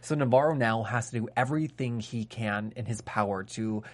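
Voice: medium pitch, fairly quick. A co-host in a casual TV recap chat, asking questions and making joking asides.

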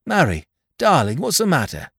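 A man's voice: very deep voice, normal speed, dry recording, clean studio sound, pitched below the performer's own voice. A man voicing a kindly, earnest male carrier in a storybook reading. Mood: anxious.

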